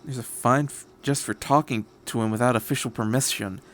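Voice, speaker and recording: A man reads the part of a cynical salaryman lawyer in a story. He sounds dry and matter-of-fact, a gossip at a middling pitch. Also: steady tone, fairly quick, fairly bright tone, close to an everyday voice. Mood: wry.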